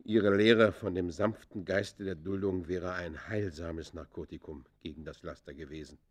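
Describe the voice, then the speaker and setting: An actor playing an embittered ex-convict in a radio play, confessing in theatrical, literary that swings from hushed to fierce. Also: male voice, low-pitched, fairly even intonation, moderate pace. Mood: sorrowful, pleading. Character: embittered male ex-convict confessing his descent into crime. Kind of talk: German radio play acting, literary monologue.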